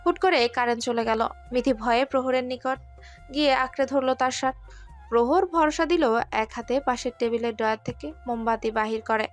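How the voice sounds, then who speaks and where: A woman's voice: a little high, steady tone, fairly quick, faint music behind. A woman narrating a scripted romantic story.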